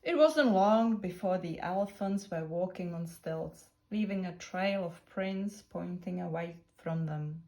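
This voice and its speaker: A woman narrating a children's picture book in a deliberate, expressive storytelling manner. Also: fairly low pitch, poor audio. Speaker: storybook reader.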